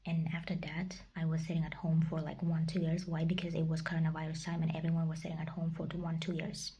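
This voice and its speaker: Young woman, whispered vlog, mellow, flat delivery, hesitant. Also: low-pitched, fast talker, slight background noise. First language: Kazakh. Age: young adult. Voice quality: breathy whisper. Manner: whispered, hesitant, searching for words. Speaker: vlogger. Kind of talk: casual personal vlog.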